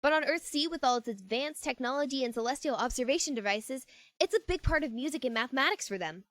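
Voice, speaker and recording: A woman's voice: high voice, expressive, fast talker, a bit bright, no room sound. A woman playing an anxious, lonely teenage goddess of space in an amateur audio drama, earnest, rambling and conversational. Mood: eagerly explaining. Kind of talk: amateur fan-fiction audio drama acting.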